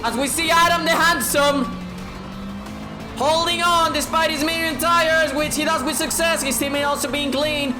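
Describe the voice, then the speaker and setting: A high-voiced woman calls a sim race with a strained, sore throat, swearing freely and shouting at the action. Her voice rings in an echoey room. Music plays under her. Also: fairly even intonation, normal speed. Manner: swears a lot, shouts at big moments, drops to a low tone to save her voice. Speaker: race commentator. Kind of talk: excited sim racing commentary. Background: background music.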